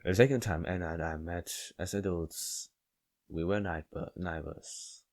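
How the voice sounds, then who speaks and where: A man's voice: low-pitched, fairly even intonation, unhurried, darkish tone. A man reading visual novel narration aloud, stumbling over words now and then. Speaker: game let's player reading aloud. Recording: faint background music.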